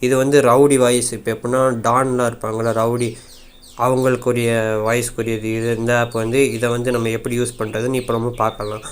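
A man's voice: mid-range voice, level tone, fast talker, smooth. A man casually walking viewers through a phone app in an informal, rambling way with repeated filler phrases. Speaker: tech YouTuber. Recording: faint background noise.

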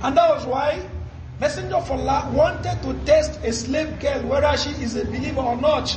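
A man's voice: very high voice, moderate pace, roomy sound, over music, low-quality recording. A man in his forties preaching a Friday sermon in a study lined with books. He is emphatic and asks rhetorical questions, then answers them himself. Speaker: Islamic preacher.

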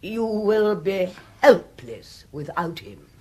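An actress playing an imperious, scolding aristocrat with an upper-class English accent and a husky voice, commanding and formal in a radio comedy. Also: female voice, medium pitch, expressive, slow pace, darkish tone. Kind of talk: radio comedy drama acting.

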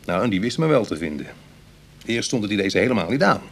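A charming ex-burglar in a dutch radio play, lowish voice, wry n chatty, netherlands accent. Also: male voice, big pitch swings, fast talker, a bit bright, husky, full character voice. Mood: wry, confiding. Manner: teasing, hesitant asides, chuckling irony. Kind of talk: Dutch radio drama acting.

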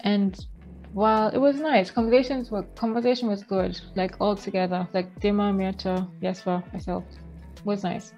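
A woman's voice: medium pitch, fairly even intonation, normal speed, a little mellow, dull audio, soft background music. Kenyan woman vlogger chatting on headset. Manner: reminiscing, chatty, with frequent restarts. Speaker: vlogger host. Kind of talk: casual video call chat. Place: home room with shelves, indoors.

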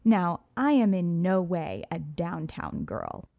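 A woman's voice: on the low side, huge pitch swings, takes it slowly, gravelly, no echo, dull audio. A woman reading an audiobook in the first person, with poised, sultry storytelling, recorded on a close studio mic. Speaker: audiobook narrator.

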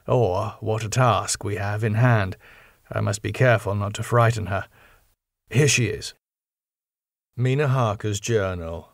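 A male audiobook narrator reads a classic novel with scripted drama. His pitch is medium, and the close recording is very dry, with little room sound. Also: creaky, dry recording. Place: quiet dry recording space.

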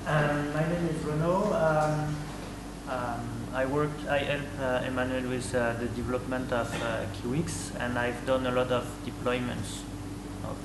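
A man's voice: mid-range voice, flat delivery, unhurried. A man hesitantly introducing himself at a workshop. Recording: noisy echoey room.

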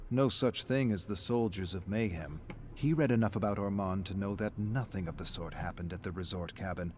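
A man's voice: low voice, a little mellow, close to an everyday voice. A man reading a scripted, dramatic story narration as the narrator. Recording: background noise under game narration.

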